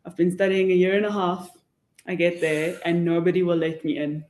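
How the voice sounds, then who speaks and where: A woman's voice: lowish voice, flat delivery, brisk, darkish tone. Young south african woman on a panel, candid n emphatic, echoey meeting room. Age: young adult. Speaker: webinar panelist. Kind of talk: panel discussion, casual advice. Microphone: table microphone.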